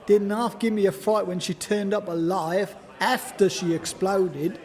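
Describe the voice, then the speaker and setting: A performer playing an indignant, rough British working-class man in a comic radio play quarrel, blunt and aggrieved. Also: medium pitch, steady tone, a little slow, a little mellow, long reverb tail, lightly acted.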